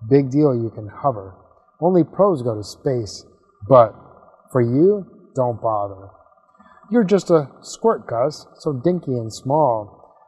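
A man's voice: medium pitch, sing-song, slow pace, dark tone, slightly rough, long reverb tail, full character voice. A man voicing a scornful, boastful veteran rocket in a storybook, grumbling and scolding. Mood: scornful, dismissive.

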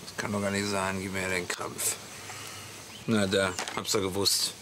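An actor playing a gruff middle-aged husband and father who grumbles bluntly and sarcastically in a German radio comedy. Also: low-pitched, completely flat delivery, normal speed, background noise, natural voice. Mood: grumpy, sulking.

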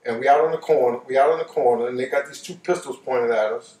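A man's voice: medium pitch, quick pace, a little mellow. An older American man telling a personal story casually and colloquially, repeating phrases for emphasis. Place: living room couch, indoors. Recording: roomy, distant microphone.

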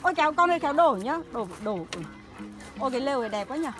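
A woman's voice: high voice, lively intonation, racing, over music. A woman chatting casually outdoors and talking to her dog dotingly, like a child. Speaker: dog owner filming a vlog. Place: outdoors at a campsite.

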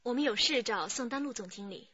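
A woman's voice: a little high, a little fast, a bit bright, natural voice. An actress playing a direct, confident young female police detective in a radio crime drama. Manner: direct, familiar. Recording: dull recording with faint noise.

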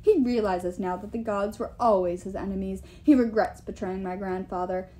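A woman's voice: medium pitch, fairly even intonation, normal speed, mellow, close to an everyday voice. A woman voicing a huge, boastful and menacing male giant king in an audiobook, with emphatic, pompous delivery. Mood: grandiose. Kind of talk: audiobook character voice.

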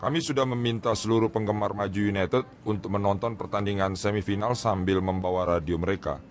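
A man's voice: fairly low pitch, fairly even intonation, brisk, natural voice. A man playing an eager, encouraging radio station manager in a radio drama.

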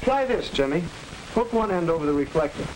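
A man's voice: a little high, lively intonation, fairly quick, natural voice. An actor playing a patient young American man who explains science didactically in a 1940s instructional film. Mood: instructive. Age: young adult. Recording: noisy 1940s film soundtrack.

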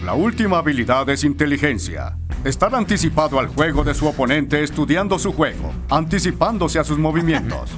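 Stern coach, lively higher-pitched voice. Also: male voice. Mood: instructive. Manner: commanding, measured speechmaking. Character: stern, demanding middle-aged soccer coach. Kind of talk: animated cartoon dub. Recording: music behind.

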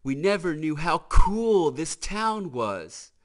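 A man's voice: fairly high pitch, big pitch swings, slow pace, pitched above the performer's own voice. A man voicing a cocky young hot-rod race car from a children's storybook, brash and emphatic.

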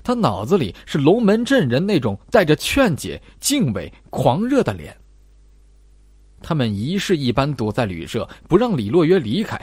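A man's voice: on the high side, huge pitch swings, moderate pace, a little mellow, breathy edge, dry recording. A man narrating a suspenseful horror novel as an audiobook, with dramatic storytelling delivery.

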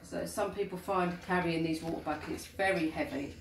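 A woman's voice: fairly low pitch, moderate pace. A middle-aged woman with an English accent, a teacher patiently explaining a household task step by step, filmed on a phone in her home kitchen and hallway. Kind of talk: instructional home demonstration. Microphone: handheld phone filming. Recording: echoey room, noisy phone audio.

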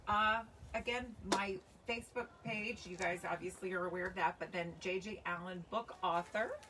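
Woman, 40s, children's author on a casual livestream, mid-pitched, recorded outdoors a little far from the mic with noticeable background noise. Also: moderate pace. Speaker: children's book author and reader. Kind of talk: storybook reading and casual livestream chat. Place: covered outdoor patio by trees. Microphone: phone or camera a few feet away.

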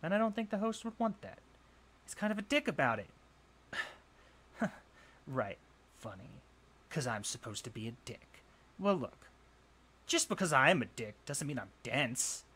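A man's voice: fairly high pitch, sing-song, sharp tone, breathy edge, heavily acted. A young man playing a jealous, insecure college bully in a roleplay audio drama, scoffing, sighing and defensive. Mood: irritated, defensive. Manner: scoffing, sighing, swearing, halting when flustered.

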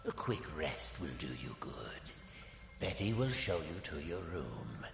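Gravelly, courteous video game villain. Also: male voice, rumbling low, sing-song, exaggerated voice. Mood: smooth, condescending. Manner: slow, deliberate, silkily polite. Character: menacing, courteous middle-aged male villain, smoothly condescending. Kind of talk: video game character acting. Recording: echoey hall reverb, faint music behind.

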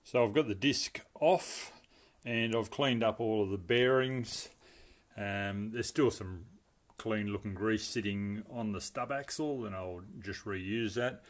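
A man's voice: fairly low pitch, takes it slowly. A middle-aged man, explaining a brake repair step by step in a casual, practical way, with plenty of fillers. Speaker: home mechanic restoring a car. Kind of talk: casual how-to narration. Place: home garage workshop.

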